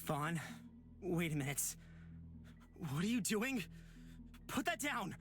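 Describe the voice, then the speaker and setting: A man's voice: high-pitched, big pitch swings, takes it slowly, fairly bright tone, faint music behind, heavily acted. A man playing an earnest, protective, trusting young character in a fantasy visual novel. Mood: worried.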